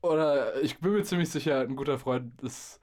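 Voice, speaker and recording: A young man in a casual podcast conversation, with a medium-pitched voice. He talks in loose slang like a DJ swapping stories, and he likes to act out the remarks he quotes. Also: normal speed, darkish tone. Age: young adult. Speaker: podcast host and DJ. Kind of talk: casual chat, animated storytelling.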